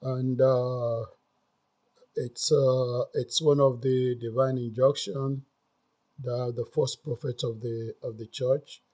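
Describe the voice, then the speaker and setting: A man's voice: medium pitch, little pitch movement, slow, warm tone. A man guest explaining church customs, earnest and hesitant with frequent restarts. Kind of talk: casual explanatory talk.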